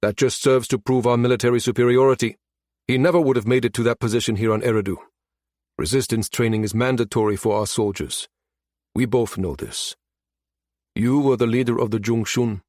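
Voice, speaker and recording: A man's voice: medium pitch, fairly even intonation, brisk, a little mellow, no echo, clean studio sound, close to an everyday voice. A man voicing a haughty, coolly contemptuous premier of an empire in a science fiction audiobook, clipped and commanding. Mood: proud, disdainful.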